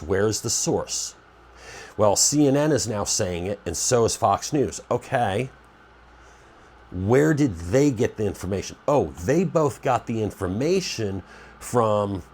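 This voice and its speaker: Very low-voiced congested man. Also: darkish tone, slightly rough. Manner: rambling, conversational, stops to correct himself. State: congested, nasal from a cold. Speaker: preparedness channel host. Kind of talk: casual talk to camera.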